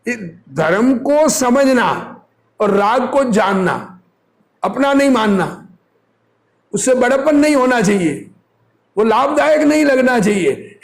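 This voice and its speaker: Very high-pitched male religious teacher. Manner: didactic, repeats phrases, often poses rhetorical questions. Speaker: religious teacher reading and explaining a discourse. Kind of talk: informal sermon, reading aloud with commentary.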